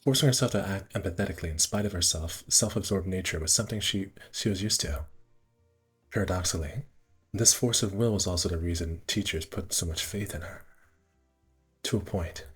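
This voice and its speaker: Deep voiced guy kinda husky, reading a visual novel on stream, trips over words, music playing behind. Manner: halting read-aloud with stumbles and asides.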